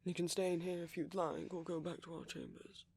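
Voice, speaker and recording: Man playing a doting villain boyfriend, ASMR romance roleplay, husky near-whisper, gravelly fry, slow and soothing. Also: fairly high pitch, big pitch swings, darkish tone, heavily acted. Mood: soothing, tender. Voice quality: breathy, often near-whispered.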